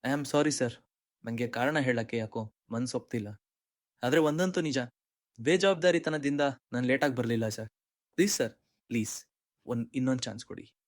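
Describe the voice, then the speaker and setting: A man's voice: medium pitch, normal speed, no echo, studio quality, lightly acted. Man acting as dejected, earnest young Indian employee. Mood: pleading. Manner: deferential, hesitant, earnest. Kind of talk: short-film drama dialogue and monologue.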